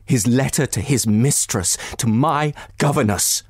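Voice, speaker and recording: A man voicing a distraught, bitter betrayed wife in a novel, near tears, with anguished, halting and emphatic delivery. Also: mid-range voice, husky, no room sound, studio quality.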